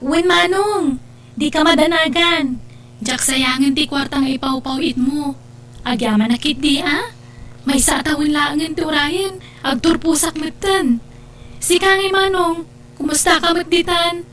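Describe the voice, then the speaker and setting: Woman acting very high, sweet young sister, Ilocano accent. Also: measured pace, long pauses, fairly bright tone. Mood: affectionate. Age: young adult. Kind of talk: Ilocano radio-style drama acting.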